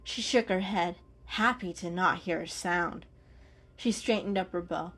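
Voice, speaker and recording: A woman's voice: on the low side, a little slow, a bit bright, soft background music. A woman reading a story aloud as a storyteller, in dramatic storybook narration.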